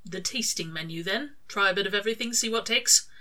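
A woman voicing a casual, teasing male demon with a soft side, in clipped, informal story dialogue. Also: mid-range voice, a little fast, lightly acted. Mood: curious, teasing.

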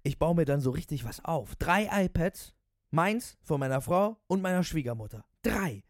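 A man voicing an ecstatic, loudmouthed male taxi driver who brags in slangy exclamations, in a storybook reading. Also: on the high side, expressive, moderate pace, no room sound, clean studio sound, pitched above the performer's own voice. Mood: ecstatic bragging. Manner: slangy, bragging, shouting exclamations.

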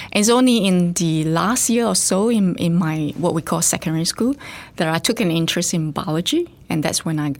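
A middle-aged woman from Singapore, a scientist and facility director, chatting thoughtfully in an interview with frequent fillers and restarts. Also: on the low side. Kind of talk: casual interview chat.

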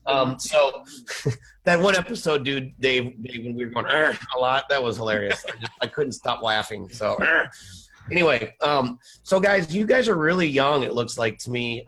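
A man hosts a relaxed music interview in a chummy, easygoing way. He laughs easily and fills his questions with "um" and "you know". His voice sits at a plain middle pitch. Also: poor audio. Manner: laid-back, chummy, quick to laugh. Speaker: radio show host. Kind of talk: casual interview hosting.

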